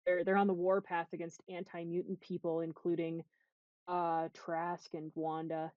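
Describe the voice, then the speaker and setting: A woman's voice: lowish voice, little pitch movement, normal speed, dry recording, lo-fi sound. A woman podcast host talking casually, making her points in an analytical, emphatic way over a voice call.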